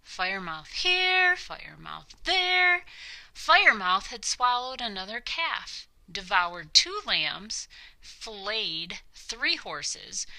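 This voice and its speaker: A woman's voice: mid-range voice, gravelly, pushed and bright, full character voice, rough recording. A woman voicing an obsessed, blustering king who warns of a monster, in a children's storybook reading. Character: King Minos, obsessed alarmist monster-hunting ruler, male.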